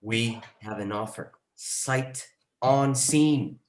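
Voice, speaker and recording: A man playing an excited, pushy property agent in his thirties in a screenplay table read, speaking eagerly and briskly. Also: mid-range voice. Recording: roomy, echoing sound.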